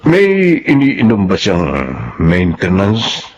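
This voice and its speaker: Doctor, low husky voice, serious but expressive, drama, slightly dull recording. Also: male voice, slow pace, full character voice. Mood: grave. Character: male doctor, serious, questioning, informative. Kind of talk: Filipino audio drama acting.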